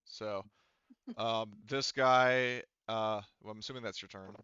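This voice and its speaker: A man running a casual online tabletop roleplaying game as game master, thinking aloud haltingly as he works through the rules. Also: fairly low pitch, fairly even intonation, sharp tone, smooth, no echo, dull audio.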